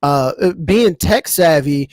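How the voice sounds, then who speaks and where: Slangy American man, higher-pitched voice, dry sound. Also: expressive, slow, no echo. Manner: slangy, emphatic repetition. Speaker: podcast panelist. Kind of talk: casual panel chat.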